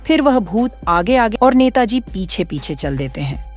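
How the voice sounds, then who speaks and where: A woman narrating a storybook horror cartoon in a lively, expressive way. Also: medium pitch, moderate pace, faint music behind.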